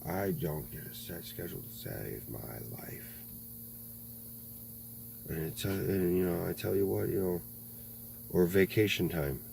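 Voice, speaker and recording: A man in his thirties in a rambling political monologue, halting, full of fillers and frequent swearing. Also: sits low, gravelly. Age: 30s. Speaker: video blogger. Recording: faint mains hum.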